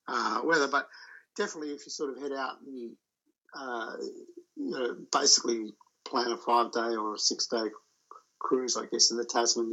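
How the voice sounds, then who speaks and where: Give me a man with a bright, tense voice, telling a sailing story haltingly over dull, muffled audio. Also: mid-range voice. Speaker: solo sailor guest. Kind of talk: casual interview chat.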